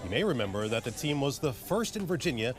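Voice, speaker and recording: A man's voice: mid-range voice, big pitch swings, fast talker, soft background music. A man with an American accent narrating scripted television news as an anchor.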